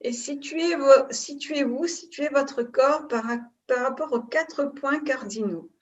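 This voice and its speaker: A woman yoga nidra instructor giving calm, unhurried guided relaxation in short phrases with pauses between them. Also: sits high. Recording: distant mic, dull muffled sound.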